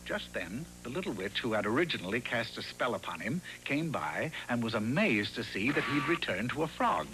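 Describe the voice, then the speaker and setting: A man's voice: mid-range voice, very animated, moderate pace, few pauses, bright, forceful tone, faint music behind, low-quality recording. A man narrating a scripted fairy tale for a cartoon as a storyteller.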